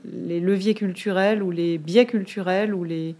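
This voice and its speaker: A woman's voice: fairly low pitch, fairly even intonation, unhurried, warm tone. A woman interviewing a guest, phrasing her questions in a searching way with many restarts. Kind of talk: interview conversation.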